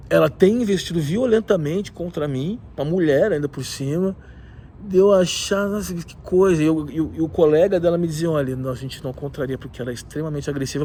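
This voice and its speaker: A man with a cold, talking in an animated, opinionated way with many asides in a casual vlog, recorded in a rooftop leisure area. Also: a little high, a little hiss or hum. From southern Brazil. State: has a cold. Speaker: video host.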